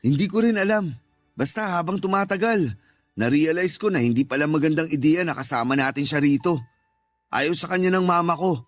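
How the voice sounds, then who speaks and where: A man's voice: fairly high pitch, brisk, no room sound, soft background music, lightly acted. A man playing a callous, irritable husband in a Tagalog radio drama, curt and dismissive. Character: callous, irritable husband who resents his stepchild.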